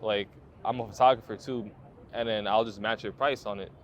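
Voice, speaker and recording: A man's voice: on the low side, steady tone, a little fast, a little hiss or hum. A man in his twenties, a photographer, telling his story in a laid-back interview with lots of slang, fillers and swearing. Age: 20s. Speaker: photographer guest.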